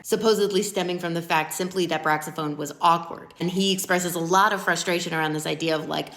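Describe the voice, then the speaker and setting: A woman's voice: lowish voice, echoey room. A woman giving a casual, blunt and sweary recap of online drama, with a wry way of talking. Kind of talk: casual commentary to camera, story recap.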